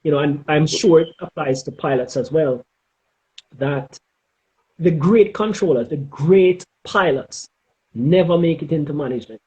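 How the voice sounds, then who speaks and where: A middle-aged man with a Jamaican accent giving advice in an interview, speaking emphatically and deliberately and often checking in with "okay?". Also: fairly high pitch, a little mellow, low-quality recording. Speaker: interview guest, former air traffic controller.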